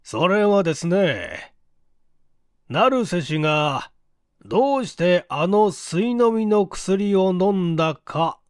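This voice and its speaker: A man's voice: sits high, very animated, clear, resonant tone, dry recording, studio quality, exaggerated voice. A man voicing a shrewd, self-assured police chief who questions politely but emphatically, in a story reading. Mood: probing. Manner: deliberate, emphatic, leading questioning. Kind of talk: acted dialogue in story reading.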